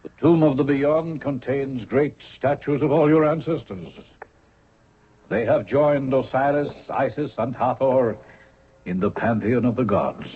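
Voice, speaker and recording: A man acting in a 1970s radio drama as a high priest of ancient Egypt, formal, measured and ceremonial. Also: slight background noise, natural voice. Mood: solemn, ceremonial. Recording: narrow phone-like vintage radio recording.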